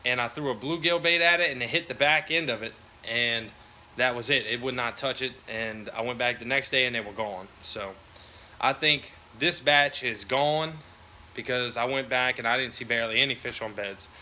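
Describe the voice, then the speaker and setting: A man's voice: medium pitch, moderate pace, pushed and bright, slight background noise. A man gives a casual, colloquial vlog talk at home, recorded at arm's length. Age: 30s. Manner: informal, colloquial. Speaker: fishing vlogger. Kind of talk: casual chat to camera. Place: indoors in a home living room. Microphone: phone or camera at arm's length.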